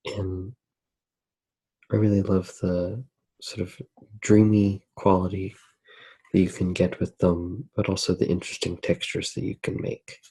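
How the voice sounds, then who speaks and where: A man gives a soft ASMR narration in a low, husky voice. He talks very slowly and hesitantly, feeling his way through unscripted thoughts in a hushed tone. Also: little pitch movement, poor audio. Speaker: host of an ASMR drawing channel. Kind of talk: soft-spoken ASMR narration while drawing.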